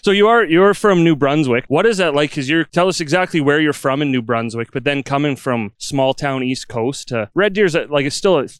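A man's voice: on the high side, lively intonation, brisk, hardly any pauses, clear, resonant tone, dry recording. A man hosting a casual podcast, joking and laughing often while interviewing a guest outdoors under a tent. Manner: jokey, upbeat, laughs often. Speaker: podcast host.

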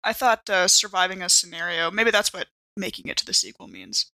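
A woman's voice: on the low side, very animated, fairly quick, creaky, pushed and bright, no room sound, rough recording. A woman chatting casually and improvising as a player in a tabletop roleplaying game.

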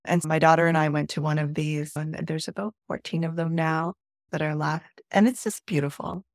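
Brief: middle-aged woman from Peru, low voice with vocal fry, reflective storyteller. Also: steady tone, normal speed, no room sound, studio quality. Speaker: podcast guest.

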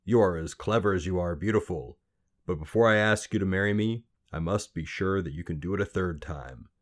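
A man's voice: sits low, brisk, close to an everyday voice. A man voicing a pleased but demanding fairy-tale king with an emphatic manner. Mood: pleased commanding. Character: pleased, demanding king praising a clever girl.

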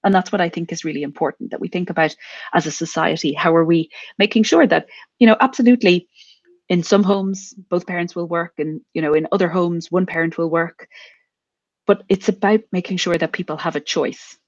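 An Irish woman in her forties talks earnestly as a podcast guest. She speaks in a measured, reflective way. The recording is dry and slightly muffled. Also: lowish voice, no room sound. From Ireland. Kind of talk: podcast interview conversation.

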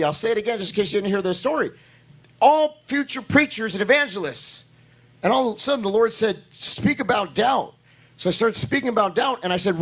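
A male pastor delivers a sermon with a hoarse voice that sits fairly high for a man. He preaches in church, pressing his points with rising, emphatic declarations. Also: fairly bright tone.

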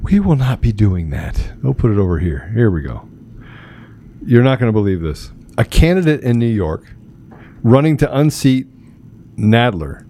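A man's voice: mid-range voice, big pitch swings, moderate pace, mellow, a little hiss or hum. A man hosting a casual live talk show, joking and teasing, often repeating himself as he banters.

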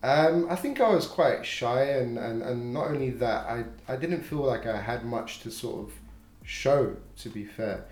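A man's voice: mid-range voice, a little slow, darkish tone, roomy sound. A man, a podcast guest chatting casually with lots of fillers and laughs. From North London. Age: 20s. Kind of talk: casual chat.

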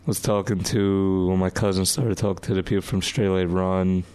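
A hoarse young man, co-hosting a casual podcast chat in a laid-back, halting way with many fillers. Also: sits low, steady tone, darkish tone. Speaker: podcast co-host. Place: home room, no headphones.

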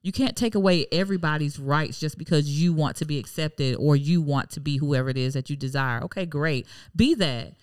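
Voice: mid-range voice, fairly quick, hardly any pauses, smooth, dry recording, clean studio sound. A speaker in casual conversation, emphatic and exasperated, repeating points. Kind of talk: casual chat.

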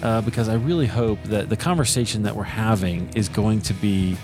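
A man's voice: lowish voice, brisk, over music. Chatty American man hosting a podcast. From United States. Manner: relaxed, filler-heavy, chatty. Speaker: podcast host. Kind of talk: casual interview chat.

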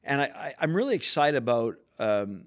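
A man's voice: mid-range voice, big pitch swings, moderate pace. A man guesting on a podcast as a sales executive, talking about his company in an earnest, enthusiastic way. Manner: enthusiastic, earnest corporate talk. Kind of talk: podcast interview answers.

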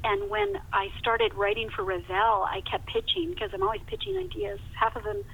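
A woman's voice: very high voice, very animated, moderate pace, vocal fry, fairly bright tone. A woman guest telling stories conversationally in an interview, with an emphatic way of talking, repeating words for emphasis. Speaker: novelist, podcast guest. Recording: muffled phone line with hiss.

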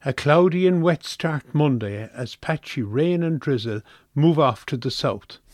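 A man's voice: a little high, animated, measured pace, a little mellow, dry recording. Irish man reading weather, dry close sound. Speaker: weather forecast reader. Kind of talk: scripted radio weather forecast.

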